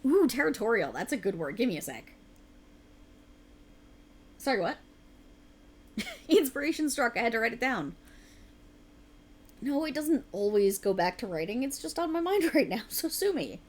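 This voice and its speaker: Woman acting animated, rambling writer girlfriend. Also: a little high, normal speed, pauses often. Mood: playful, teasing. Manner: earnest, rambling, playfully defensive. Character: tired, rambling, passionate young woman writer, teasing girlfriend. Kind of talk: ASMR girlfriend roleplay, intimate conversational acting.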